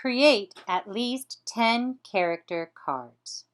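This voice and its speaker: Female teacher, instructional read, slow and deliberate, step-by-step, animated pitch movement. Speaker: teacher presenting a writing activity. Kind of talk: instructional lesson.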